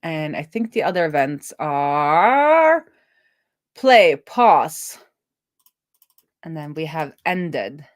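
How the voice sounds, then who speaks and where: Chatty woman streamer, lowish voice. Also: sing-song, drawn-out words, pauses often. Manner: chatty, thinking aloud with self-talk. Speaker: streamer and web developer. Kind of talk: casual live coding stream, thinking aloud.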